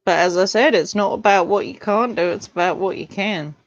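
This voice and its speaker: A woman's voice: medium pitch, fairly quick, no echo. A woman chatting casually as a guest on a livestream, talking in a blunt, matter-of-fact way. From Portland, Oregon, United States. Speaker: interview guest. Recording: dull, lo-fi streamed audio.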